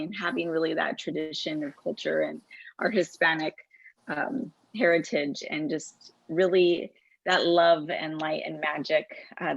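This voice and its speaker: A woman's voice: deep voice, vocal fry, husky, no echo. A woman, a teacher and children's book author, earnestly presenting her book on a video call from home, with many fillers. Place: home room. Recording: dull dry video-call audio.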